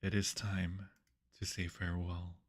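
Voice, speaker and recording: A man's voice: low voice, monotone, measured pace, slightly rough, no room sound, clean studio sound. A man hosting a livestream, chatting casually with viewers while playing a game. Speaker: livestream host. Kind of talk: casual livestream gaming chat.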